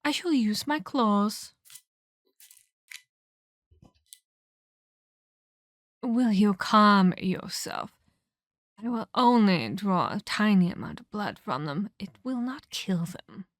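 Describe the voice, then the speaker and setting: A woman playing a haughty, menacing vampire elder in a scripted ASMR roleplay, speaking in a deliberate, taunting, condescending way with formal phrasing. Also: mid-range voice, expressive, no room sound, clean studio sound, lightly acted.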